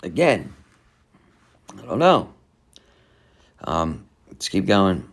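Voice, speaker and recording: A man reads a crime article aloud in a somewhat low voice. He stops often to pick at it, rambling and skeptical, and hums to himself between passages. It sounds like casual true crime commentary. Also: sing-song, drawn-out words, vocal fry, breathy edge. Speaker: true crime channel host.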